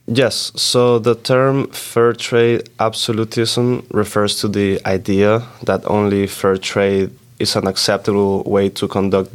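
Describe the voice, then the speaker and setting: A young man from Switzerland on a student podcast, reading formal prepared definitions. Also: lowish voice. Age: young adult. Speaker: podcast co-host. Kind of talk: student podcast, explaining terms.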